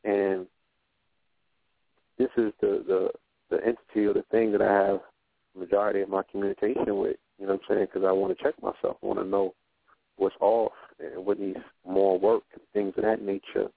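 A man with a low, flat voice talks in a reflective, unhurried way on a radio roundtable. He often checks in with his listeners as he goes. His voice is thin and dry, as if it comes down a phone line. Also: vocal fry, no room sound. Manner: reflective, repeats "you know what I'm saying". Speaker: radio show guest. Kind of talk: casual roundtable talk.

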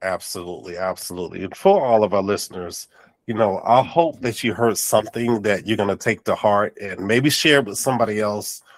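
A man's voice: on the low side, a little fast, no echo. A man hosting an interview podcast, sounding nasal and congested, with affirming and encouraging talk. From North Carolina. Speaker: podcast host. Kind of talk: casual interview chat.

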